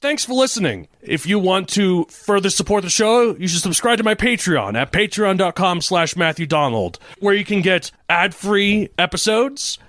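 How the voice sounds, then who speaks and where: A man with a high-pitched voice speaks as a podcast host. His scripted outro delivery sounds dry, with no room echo. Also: lively intonation, moderate pace, few pauses, a bit bright.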